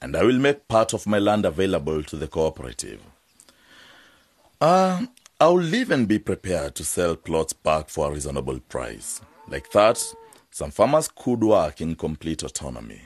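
Casting: earnest male peanut farmer, low husky voice, bargaining insistently in a radio play. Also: very animated, normal speed, dry recording, heavily acted. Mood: persuasive. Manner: insistent, persuasive. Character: earnest farm owner and peanut producer bargaining for help. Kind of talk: radio drama acting.

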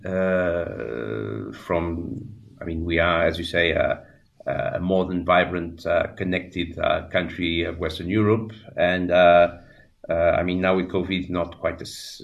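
A man in his sixties from Portugal with a Portuguese accent, talking as a radio interview guest in a halting way, with many fillers and restarts. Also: low voice, gravelly, highs cut off. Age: 60s. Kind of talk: radio interview, reflective storytelling.

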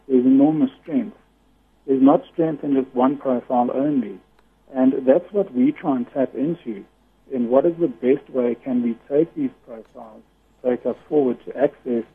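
A man with a loud voice, a guest giving earnest explanations in a radio interview over the phone. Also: medium pitch, slightly rough. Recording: tinny phone line.

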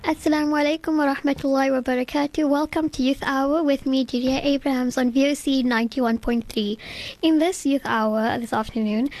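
A woman hosting a community radio interview in a studio, asking friendly, quick follow-up questions. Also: sits high, darkish tone. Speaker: radio show host.

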